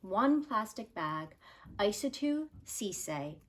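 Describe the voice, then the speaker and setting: A woman reading a children's picture book aloud like a teacher, with deliberate, expressive storytelling delivery. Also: medium pitch.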